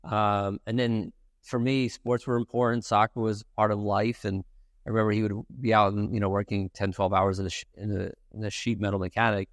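Man, 40s, from Ukraine, Russian first language, podcast guest, low voice, dry. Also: little pitch movement, brisk, no room sound. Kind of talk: casual interview. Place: bright office with a lounge set.

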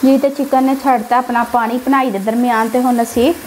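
A woman's voice: fairly high pitch, level tone, a little fast, a little mellow, poor audio. A woman with a Punjabi accent narrates a recipe step by step as a home cook, instructing her viewers directly. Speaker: home cook, cooking channel host. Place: home kitchen, beside the stove. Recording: background noise of frying and stirring. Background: sizzling pan, stirring sounds.

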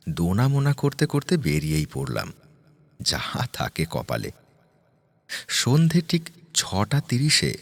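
A man's voice: fairly low pitch, vocal fry, slightly rough. A man narrating a horror story in the first person, with a conversational yet theatrical storytelling manner. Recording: music bed under the voice.

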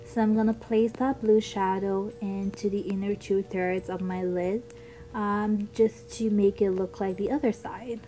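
A woman's voice: mid-range voice, fairly even intonation, a little slow, dark tone, poor audio. A young woman with a childlike voice narrating a makeup tutorial in a chatty, upbeat way. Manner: chatty, upbeat product talk with ums. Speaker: beauty vlogger.

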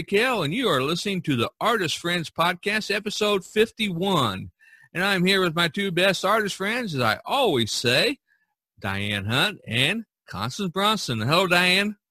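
A middle-aged or older American man hosting a podcast in a conversational way, with a husky voice. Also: high-pitched, sharp tone, no echo. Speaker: podcast host.

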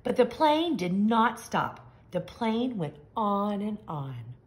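A woman reading a picture book aloud to young children, with expressive, simple and emphatic phrasing. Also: medium pitch, slow pace, noisy background. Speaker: children's story reader. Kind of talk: expressive storybook read-aloud.

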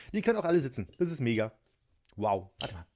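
A man talks his way through a toy build at a home table. He chats freely, giggles often and pokes fun at himself. His voice sits at an ordinary middle pitch. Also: sing-song, normal speed, a little mellow. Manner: chatty, giggly, self-mocking. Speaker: toy unboxing vlogger. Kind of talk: casual chat while building a toy set. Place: home tabletop filmed from above.

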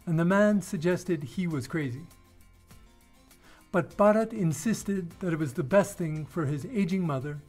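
A man hosting an interview podcast, reading a scripted intro and then asking measured questions. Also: on the high side, a little mellow. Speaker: podcast host.

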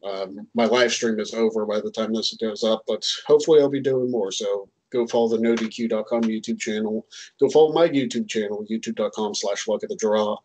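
A man's voice: lowish voice, fairly even intonation, brisk, a little mellow, low-quality recording. A man in his thirties co-hosting a casual chat on a headset, rambling and self-deprecating with many ums. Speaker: co-host. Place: home room, indoors.

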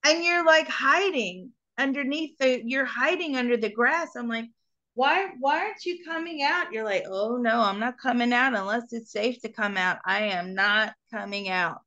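A woman hosting a live call-in show as a psychic reader, talking in an animated, coaxing way and laughing often. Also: a little high, moderate pace, muffled recording.

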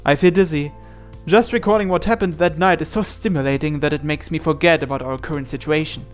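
The kind of higher male voice you would hear on a gaming channel, reading a story game aloud over music. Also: brisk. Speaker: reader narrating a visual novel playthrough.

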